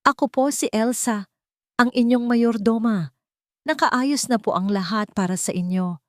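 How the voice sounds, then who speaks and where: A woman's voice: on the high side, unhurried, no echo, clean studio sound, voice pushed up, natural voice. A woman voicing a stern, formal, emotionless elderly female head housekeeper. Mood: formal, cool. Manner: formal, deferential.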